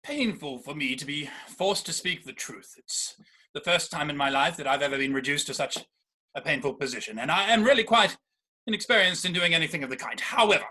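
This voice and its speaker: Seeking a male actor for an indignant young gentleman in period stage comedy, high-pitched and forceful. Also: heavily acted. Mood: pained, reluctant. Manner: emphatic, indignant, formal diction. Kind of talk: rehearsed reading of a Victorian stage comedy.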